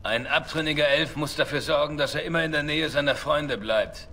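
An actor voicing a roguish, cynical elf outlaw in a video game, with a dry, wry way of talking. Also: male voice, on the high side, brisk, vocal fry, bright, forceful tone, heavily acted. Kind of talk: dramatic video game dialogue.

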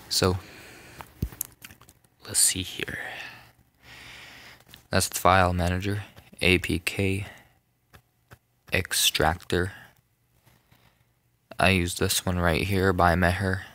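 A young man narrating a casual phone tutorial, rambling, with many ums and self-corrections, in a soft voice. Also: low voice, dry recording. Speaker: tutorial maker. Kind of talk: casual screen-recorded tutorial.

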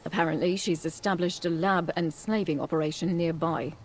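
An actress playing a solemn, grieving but resolute female ally in a video game, serious and deliberate. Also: fairly low pitch, fairly even intonation, slight background noise, close to an everyday voice.

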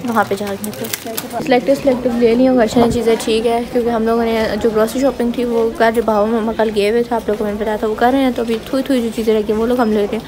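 A woman's voice: a little high, flat delivery, quick pace, crowd noise. A young adult woman with a Pakistani accent chatting casually for a vlog, mixing in English phrases. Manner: code-switches with English phrases. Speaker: vlogger. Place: home, street, mall and grocery store. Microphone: phone at arm's length. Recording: noisy handheld phone vlog recording.

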